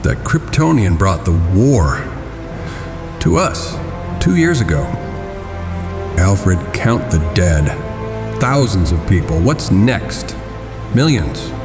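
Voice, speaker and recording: Deep, brooding vigilante billionaire. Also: male voice, sing-song, full character voice. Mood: grave, insistent. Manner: brooding, grave, deliberate. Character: grim, vengeful middle-aged male vigilante billionaire, brooding and obsessive. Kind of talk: audio drama narration and dialogue. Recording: dramatic music behind the voice.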